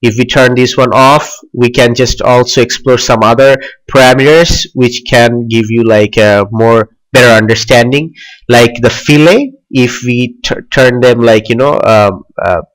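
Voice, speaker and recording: A young man hosting a software tutorial in a loose, chatty way, with frequent "like" and "uh" fillers. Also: medium pitch, fairly even intonation, unhurried, poor audio. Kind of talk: casual screen tutorial.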